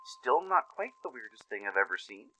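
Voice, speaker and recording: A man voicing a menacing, grumbling male griffin in a forced, grating, gravelly voice for an audio drama. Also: on the low side, big pitch swings, moderate pace, sharp tone, slight background noise, exaggerated voice.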